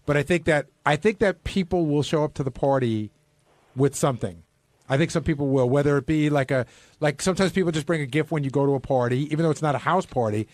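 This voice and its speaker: Fast-talking man with a slightly high voice. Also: darkish tone, dry recording. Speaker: radio show staffer. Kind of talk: casual on-air discussion.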